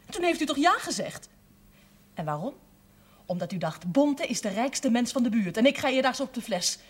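An actress playing a defiant, principled grown daughter in a television drama, reproachful, indignant and outspoken. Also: female voice, a little high, expressive, moderate pace, breathy edge. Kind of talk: naturalistic television drama acting.

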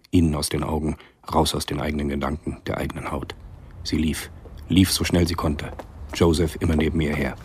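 A man narrating a radio drama from a script in a measured, sombre, husky voice. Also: rumbling low, a little mellow.

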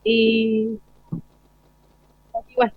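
A woman's voice: a little high, monotone, very slow, no room sound, lo-fi sound. A teenage young woman answering casually as a student in a live interview. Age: teens.

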